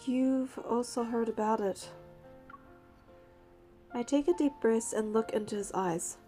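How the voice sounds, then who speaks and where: An earnest young heroine of a story game, acted by a woman, with a fairly high, softly mellow voice. She speaks and narrates as a determined hunter, with music underneath. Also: measured pace, lightly acted. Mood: solemn. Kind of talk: scripted game dialogue and first-person narration.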